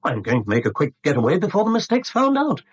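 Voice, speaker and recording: A man's voice: on the high side, wildly swooping pitch, quick pace. A man acting a smug, breezy British confidence trickster in a 1970s radio drama, jaunty, sly and condescending. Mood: gleeful, scheming.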